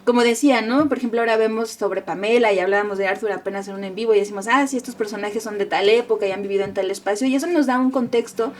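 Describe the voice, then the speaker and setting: A woman's voice: mid-range voice, very fast, few pauses, slight background noise. A woman co-hosting a tarot channel interview, talking enthusiastically and effusively.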